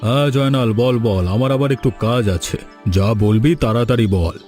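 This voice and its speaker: A stingy village master from a cartoon fairy tale, played by a man. He is bossy and suspicious, scolding in a mellow, dark-edged voice over music. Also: mid-range voice, brisk, close to an everyday voice. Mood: brusque, impatient. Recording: music behind the voice.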